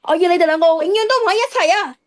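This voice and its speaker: Teenage schoolgirl, voiced by a woman, very high voice, cheeky, defiant, whiny, radio drama. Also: rapid-fire. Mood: hurt, defiant. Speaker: voice actor in an audio drama. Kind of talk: Cantonese radio drama acting.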